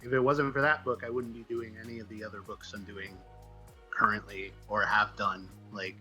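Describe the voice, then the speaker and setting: Bright-voiced man thinking aloud. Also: fairly low pitch, flat delivery, soft background music, rough recording. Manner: halting, thinking aloud, self-deprecating. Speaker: guest, comic book writer. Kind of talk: casual interview chat.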